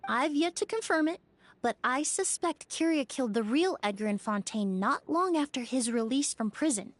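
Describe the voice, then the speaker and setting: An actress playing a composed young woman detective in a video game, measured, articulate and analytical. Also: sits high, expressive, no room sound. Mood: grave, explanatory.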